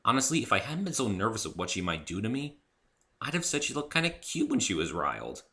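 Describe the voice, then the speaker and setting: A man gives a dramatic first-person story reading. His voice is somewhat low and very bright, with lively, theatrical movement in pitch. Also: fast. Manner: expressive, theatrical. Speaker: author reading own story.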